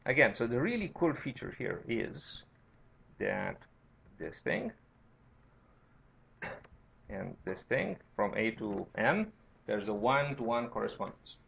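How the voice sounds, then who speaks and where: A man's voice: fairly low pitch, sing-song, takes it slowly, vocal fry, pauses often, fairly bright tone. A man from Romania with a Romanian accent, lecturing informally as a university teacher and often checking in with "right?" and "okay?". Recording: dull, lo-fi lecture recording.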